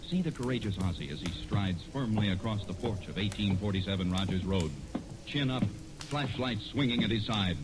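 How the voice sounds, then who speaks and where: Casting: male vintage radio announcer, mid-pitched, lively swooping delivery, theatrical and emphatic, music on an old broadcast recording. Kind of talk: 1940s radio announcing, scripted.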